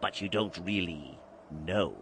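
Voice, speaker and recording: An actor playing a theatrical, sinister old guide to Halloween in an audio drama, grandiose and teasing with dramatic pauses. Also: male voice, sits low, fairly bright tone, a little hiss or hum. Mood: taunting, mysterious. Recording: music behind the voice.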